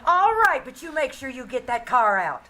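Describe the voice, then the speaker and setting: A woman playing a sharp, flustered, scolding wife in a 1940s-style radio sitcom, emphatic and theatrical. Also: high voice, very animated, normal speed, full character voice. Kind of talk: 1940s radio sitcom acting, live stage re-creation. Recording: live theater stage, faint noise.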